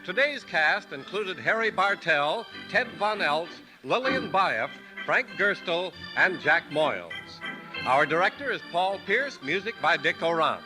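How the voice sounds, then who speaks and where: Old-time radio announcer guy, lively sing-song pitch, music under him. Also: measured pace, rough recording. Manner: booming, upbeat sell. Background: theme music.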